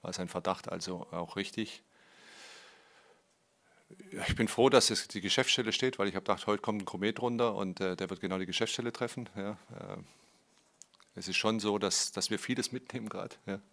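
A man's voice: fairly low pitch, moderate pace, a bit bright. A man answering press questions as a club's managing director, rambling with restarts, fillers and frequent "ja".

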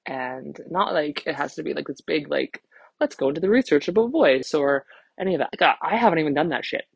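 A woman's voice: low-pitched, lively intonation, fairly quick, creaky, husky, no echo, muffled recording. A woman in her thirties hosting a casual solo podcast, rambling candidly and self-deprecatingly, swearing freely. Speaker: podcast host, vocal empowerment coach.

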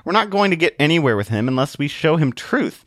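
An earnest male pilgrim voiced by a man telling a storybook tale argues with exasperation. His voice is somewhat raised and lively, reasonable at heart. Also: on the high side, fast, no echo, clean studio sound, pitched above the performer's own voice. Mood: exasperated. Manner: earnest, argumentative.

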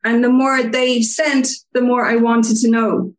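A woman's voice: fairly high pitch, little pitch movement, a little slow, echoey room. A young Irish woman, a guest, chatting casually and telling stories with frequent ums. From Ireland (Cork). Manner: chatty, storytelling with filler ums. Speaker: guest fan. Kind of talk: casual interview chat.

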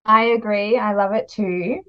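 Woman, medium pitch, podcast interviewing, chatty book talk, muffled audio. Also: fairly even intonation, unhurried. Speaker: podcast host.

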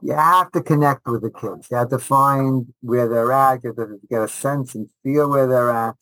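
A man's voice: mid-range voice, normal speed, fairly bright tone, no room sound, poor audio. A middle-aged man, a rabbi and teacher answering interview questions conversationally, enthusiastic and emphatic, repeating phrases and often restarting.

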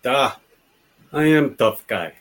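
A man acting a young, gentle giant strongman with a Russian accent in a live radio play. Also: medium pitch, lively intonation, takes it slowly. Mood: solid, proud.

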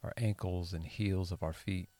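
A man leading a guided sleep meditation in a soothing, unhurried way, leaving long pauses between short phrases. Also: deep voice, steady tone. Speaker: meditation guide and podcast host. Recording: soft music behind the voice.

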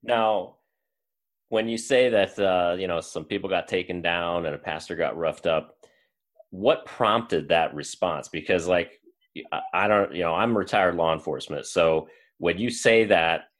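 A man hosting an interview and asking his guest measured follow-up questions. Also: sits low, fairly bright tone. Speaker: host.

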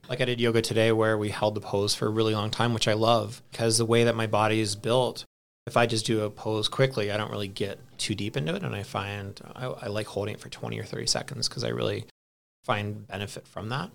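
A man's voice: on the low side, steady tone, a little fast, creaky. A man in his thirties chatting casually in an anecdotal, self-deprecating way. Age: 30s. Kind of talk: casual chat.

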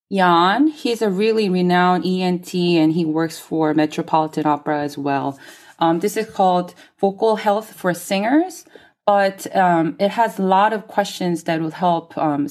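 A woman singer whose first language is Korean talks through her craft in an interview. Her voice sits a little low, and she pauses often with soft ums as she gathers her thoughts. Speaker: guest singer. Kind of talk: interview conversation.

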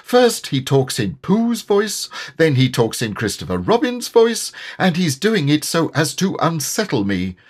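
A man voicing a small, nervous storybook piglet with a squeaky, flustered voice. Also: huge pitch swings, exaggerated voice. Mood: anxious. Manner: nervous, hurried.

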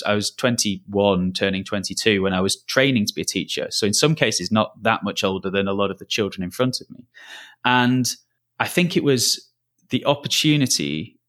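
A man's voice: fairly low pitch, fairly bright tone. A man in his thirties with a Lancashire accent, a school's director of learning, answering interview questions in an earnest, thoughtful way with frequent restarts. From Lancashire, England. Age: 30s. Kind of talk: podcast interview.

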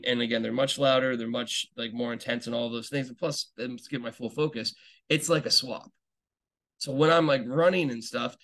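A man's voice: medium pitch, fairly bright tone. A young man hosting a podcast, talking in a casual, rambling monologue full of fillers and restarts, with an enthusiastic fan's tone. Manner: enthusiastic fan talk. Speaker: podcast host.

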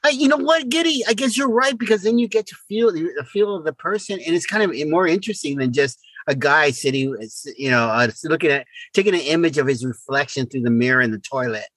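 An older man chats casually as a podcast co-host. His voice is bright and a little high, close and dry. He stammers, doubles back on words and exclaims his points. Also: expressive, fast, few pauses, no room sound, poor audio.

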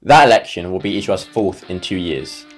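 A man with a fairly low voice hosts a podcast. He introduces the subject and puts questions to his guests. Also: lively intonation, moderate pace, soft background music. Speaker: podcast host.

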